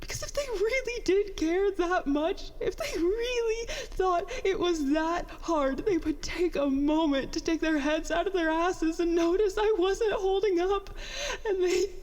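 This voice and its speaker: An actress playing a bitter, self-doubting young petty officer in a halting, confessional monologue for a science fiction audio drama. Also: female voice, very high-pitched, a little mellow, in a large hall. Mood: anguished, tearful anger. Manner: halting, conversational, self-deprecating, mildly profane.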